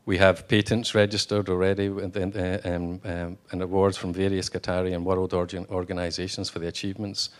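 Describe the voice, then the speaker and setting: A man giving a conference speech as a company executive, halting, with many fillers and restarts. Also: low voice, level tone. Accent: non-Texan. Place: hotel conference room.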